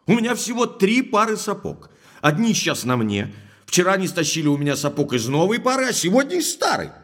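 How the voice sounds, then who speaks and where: A man's voice: high-pitched, a little fast, full character voice. An actor playing a hot-tempered, indignant baronet in an audio drama, emphatic and agitated in his speech. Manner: agitated, emphatic, courteous when calming.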